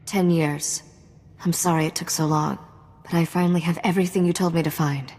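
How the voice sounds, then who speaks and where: A woman's voice: deep voice, fairly even intonation, a little fast, a little mellow, big-room sound. A woman playing a guarded, confident young smuggler's associate in a video game, controlled and matter-of-fact. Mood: solemn.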